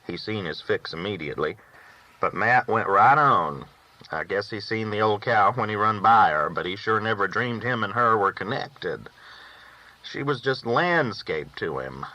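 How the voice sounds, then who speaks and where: A man's voice: on the low side, pushed and bright. A man reading a novel aloud as a first-person storyteller, with folksy rural phrasing, on a dull recording. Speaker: audiobook reader.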